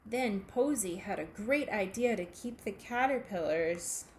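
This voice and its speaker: A woman reading a children's picture book aloud, in a deliberate, expressive way aimed at young listeners. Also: mid-range voice. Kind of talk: children's storybook read-aloud. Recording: echoey room with faint background noise.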